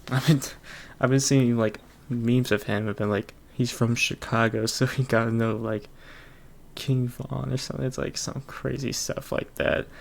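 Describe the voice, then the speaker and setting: A man's voice: medium pitch, fairly even intonation, unhurried, gravelly. A man hosting his own podcast alone, rambling casually with lots of fillers and frequent chuckles. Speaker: podcast host. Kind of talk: casual solo podcast chat.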